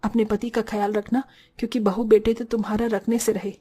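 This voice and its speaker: A woman's voice: fairly high pitch, little pitch movement, brisk, dark tone, slightly rough. A woman voicing a bossy, gossipy but caring older mother-in-law in a Hindi cartoon story. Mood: kindly.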